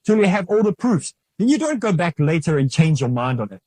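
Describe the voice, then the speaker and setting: Male host of a faith series, slightly high and mellow, who preaches with insistent repetition. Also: very animated, brisk, no room sound. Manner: emphatic, repetitive, urging the listener. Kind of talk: preaching religious talk.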